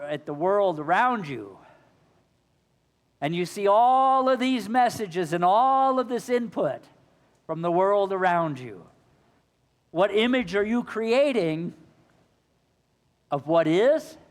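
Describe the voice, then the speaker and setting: A male minister preaching a sermon, his voice slightly hoarse, asking the congregation earnest questions. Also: high voice, animated, slow pace, pauses often, in a large hall. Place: church sanctuary.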